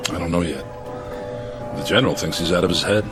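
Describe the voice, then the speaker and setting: A low-pitched, earnest army major played by a male actor in a radio drama. He is measured and deferential, yet curious and a little unsure. Music plays clearly under his voice. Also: natural voice. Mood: calm, guarded.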